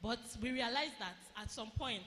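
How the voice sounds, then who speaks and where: Woman speaker in an echoey church hall, bright voice, little bit of noise behind. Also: fairly high pitch. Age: adult, married since 2016. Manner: measured, pausing phrase by phrase for the interpreter. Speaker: retreat speaker. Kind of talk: teaching talk with live interpretation.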